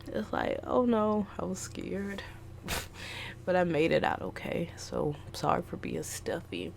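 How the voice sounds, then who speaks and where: A woman's voice: lowish voice, measured pace, creaky, darkish tone, slight background noise. A young woman artist casually rambling about her goals, stuffy from a flu, with many ums and restarts. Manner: meandering, lots of ums and restarts. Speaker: artist vlogger.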